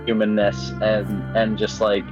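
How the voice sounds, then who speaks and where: A man's voice: fairly low pitch, monotone, measured pace. Hesitant, stammering man, slightly muffled. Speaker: game writer. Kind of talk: casual interview chat. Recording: slightly dull lo-fi mic. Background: background music.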